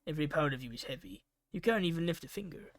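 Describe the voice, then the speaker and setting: A man's voice: a little high, animated, fast, fairly bright tone, no echo. A man reading a visual novel aloud for a gaming video in a dramatic, theatrical way. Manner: theatrical, acts out the dialogue.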